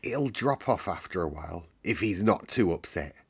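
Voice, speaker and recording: A man's voice: low-pitched, expressive, normal speed, breathy edge, full character voice. A man voicing a sleepy young woman nurse in a storybook, hesitant and reluctant. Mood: weary.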